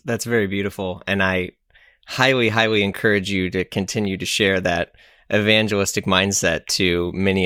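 A man's voice: low voice, fairly bright tone, dry recording, clean studio sound. A man hosting a podcast, friendly and chatty, who laughs easily while interviewing his guest. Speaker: podcast host. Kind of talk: casual podcast interview and outro.

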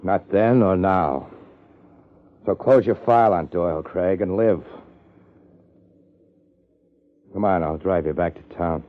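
Actor as a gruff, low-voiced American boxing trainer. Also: male voice, moderate pace, natural voice. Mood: wary. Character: gruff, threatening boxing trainer guarding a secret. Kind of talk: 1950s American radio drama acting. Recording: muffled old radio recording.

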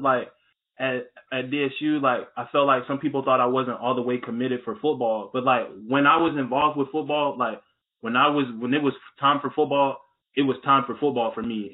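A man's voice: mid-range voice, steady tone, fairly quick. Laid-back young man on a phone line. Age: young adult. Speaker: podcast guest. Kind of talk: casual interview chat. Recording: tinny phone-like call audio.

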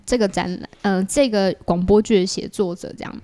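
A woman with a Taiwanese accent explains a creative project in casual interview chat, often softening her points with small asides. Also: medium pitch, fairly quick. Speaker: podcast guest, audio drama creator. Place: small partitioned dessert cafe in an alley.

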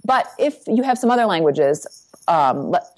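Brisk woman giving career advice. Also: fairly low pitch, big pitch swings. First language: English. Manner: brisk, practical, tag questions like "right?". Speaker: guest career coach. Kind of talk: advice interview.

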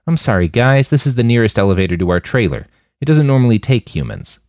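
A man's voice: medium pitch, animated, fast talker, a little mellow, dry recording, clean studio sound, voice pushed up, close to an everyday voice. A man voicing a laid-back, blunt post-human woman in an audiobook, talking casually and swearing freely. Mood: apologetic.

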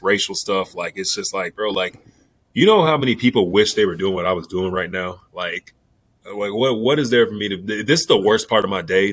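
A man's voice: deep voice, a bit bright. A man in his twenties, a pro basketball player, giving candid interview answers in a laid-back, rambling way full of fillers. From New York.

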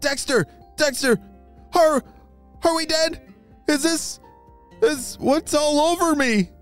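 A storyteller voicing an excitable, wide-eyed young boy spy in a children's adventure tale. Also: high voice, takes it slowly, faint music behind, pitched above the performer's own voice. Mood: worried. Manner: exclaiming, wide-eyed, dramatic.

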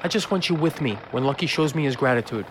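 A man's voice: mid-range voice, lively intonation, fast talker, slight background noise, lightly acted. A man playing a glib, wheedling 1920s man-about-town in an audio drama, slick and patronising. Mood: slick, cajoling. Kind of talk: period audio drama acting.

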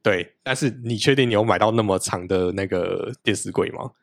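A man's voice: fairly low pitch, a little fast, creaky, no room sound, studio quality. A man in his late thirties, a podcast guest in casual chat, explaining things at length in a practical, detailed way.

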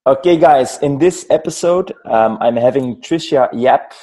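A man's voice: medium pitch, measured pace, dark tone. A man hosting a podcast, introducing a guest and talking hesitantly, repeating words as he goes. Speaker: podcast host. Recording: faint music behind.

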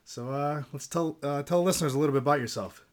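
A man's voice: a little high, quick pace. A man hosting a podcast, asking questions in a casual interview style. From Greece.

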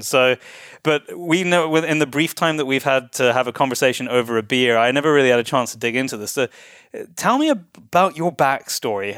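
Smooth, clear male interview host. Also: mid-range voice, fairly bright tone, dry recording, clean studio sound. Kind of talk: casual conversational interviewing.

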